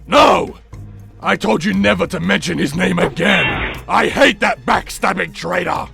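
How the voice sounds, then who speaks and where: Super gravelly villain, kinda high, hot-headed n threatening, cartoon fandub. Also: male voice, unhurried, bright, forceful tone, exaggerated voice. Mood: furious. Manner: snarling, threatening. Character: hot-tempered, vengeful villainous warrior. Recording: music behind the voice.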